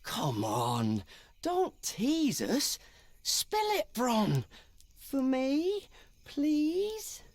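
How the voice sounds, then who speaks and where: A woman's voice: sits high, wildly swooping pitch, drawn-out words, a little mellow, dry recording, full character voice. An actress playing a playful, teasing, wheedling woman adventurer in a video game cutscene. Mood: coaxing.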